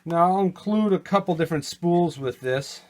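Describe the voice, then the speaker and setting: A man giving a casual hands-on product demo, explaining step by step in a plainspoken, unhurried way. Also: on the high side, a little mellow, a little hiss or hum. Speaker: vintage gear seller and demonstrator.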